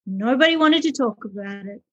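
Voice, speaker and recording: A woman's voice: on the high side, lively intonation, a little fast, breathy edge, lo-fi sound. A woman author and early childhood educator, answering podcast interview questions with earnest, passionate storytelling.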